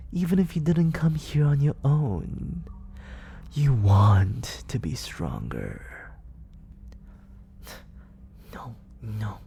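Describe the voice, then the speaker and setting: A man acting out a manipulative villain who purrs his words close to the mic, low-toned and slow. His voice is gravelly and dark, and it drifts often into a hushed whisper. His manner is coaxing, condescending and fully in control. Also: slight background noise, exaggerated voice. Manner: slow, purring delivery that often drops into whispers. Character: smooth, manipulative male villain, soft-spoken and controlling. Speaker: voice actor. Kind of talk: ASMR roleplay. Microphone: close studio condenser mic.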